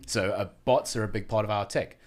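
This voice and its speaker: A man with a South African accent talks through his work as a podcast guest. His voice sits very low, and his delivery is relaxed and explanatory, with easy laughter. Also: normal speed. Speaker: podcast guest, head of marketing. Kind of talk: casual business podcast chat.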